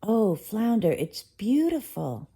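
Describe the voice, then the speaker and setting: A young mermaid princess from a bedtime story, acted out by a woman. She is dreamy and excitable, gushing and coaxing playfully, with her pitch lifted high above plain narration. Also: takes it slowly, slightly rough, full character voice. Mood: delighted.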